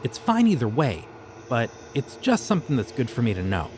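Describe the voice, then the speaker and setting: A man voicing a friendly but creepy young occult shopkeeper in an audio roleplay, talking in a casual, easygoing way about dark rituals. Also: medium pitch, wildly swooping pitch, a little fast, background noise. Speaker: voice actor.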